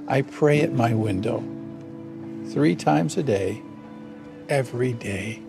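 Slow, solemn old biblical man. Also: mid-range voice, lively intonation, gravelly. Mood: solemn, resolute. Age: older. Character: devout old biblical man, steadfast and resigned. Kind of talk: dramatic film acting. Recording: music behind the voice.

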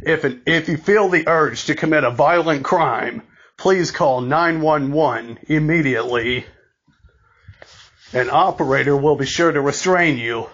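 Male alert announcer, slightly high voice, formal and deliberate with stumbles, muffled lo-fi sound. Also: fairly bright tone, husky. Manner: formal, deliberate, halting with repeated words. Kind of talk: scripted emergency broadcast announcement, amateur reading.

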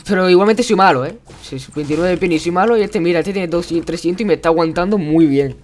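A man's voice: high voice, fast talker, darkish tone. A young man with an accent from Spain chats casually and encouragingly in slang as he hosts a live game stream. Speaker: game streamer, host of one-on-one matches. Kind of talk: casual live gameplay chat. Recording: clear background noise, keyboard clicks.

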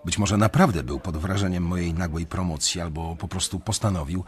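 An actor playing an uneasy young banker who narrates a radio drama in a measured, reflective way. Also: male voice, low-pitched, natural voice. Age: young adult.

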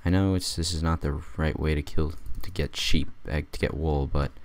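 A man just out of bed with a raspy voice, rambling through a casual let's-play commentary with wry jokes. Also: extremely low pitch, fairly even intonation, moderate pace. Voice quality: raspy, gravelly. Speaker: gamer doing a let's play.

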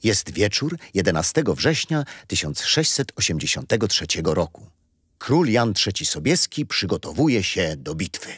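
A man's voice: low voice, sing-song, normal speed, husky, no room sound, studio quality, full character voice. An actor playing a kindly, patient old grandfather who tells history to his grandchildren in a children's radio play. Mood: storytelling, amused. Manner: gentle storyteller, explaining patiently.

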